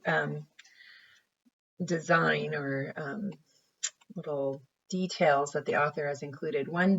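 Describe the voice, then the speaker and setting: A woman with a low voice speaks as a teacher, in the manner of story time and informal explanation. The recording sounds a little dull. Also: a bit bright. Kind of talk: story reading and informal lesson commentary.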